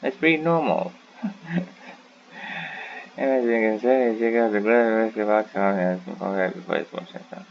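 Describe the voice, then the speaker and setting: A man's voice: on the low side, normal speed, gravelly, long pauses, muffled recording, slight background noise. A man giving casual, muttered playthrough commentary as he hosts a gaming video.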